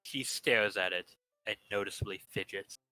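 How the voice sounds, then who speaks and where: A man's voice: lowish voice, normal speed, sharp tone, no echo, low-quality recording. A man narrating game text aloud in an impromptu reading, playful with asides.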